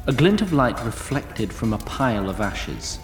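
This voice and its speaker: A wry fantasy storyteller, narrating in formal, literary phrases. His mid-pitched voice carries plenty of echo and a long reverberant tail. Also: sing-song, normal speed, faint music behind. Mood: measured, dry. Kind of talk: scripted narration.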